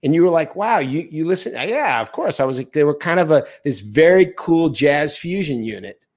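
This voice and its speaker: A man's voice: on the high side, expressive, normal speed, darkish tone. A man in his sixties interviewing a guest in a chatty, friendly way, hesitating as he builds his questions. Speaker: interviewer host. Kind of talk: casual interview chat. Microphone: studio mic on boom arm, video call.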